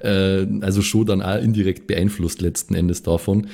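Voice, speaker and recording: A man's voice: deep voice, fairly even intonation, normal speed, vocal fry, mellow. A man co-hosting a podcast, chatting casually with friends about their role-playing. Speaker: podcast co-host and role-player. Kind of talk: casual chat.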